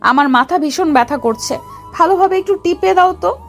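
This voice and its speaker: A woman's voice: extremely high pitch, expressive, normal speed. A woman playing a bossy, short-tempered young wife in an audio story. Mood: irritated. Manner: commanding, scolding. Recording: music behind the voice.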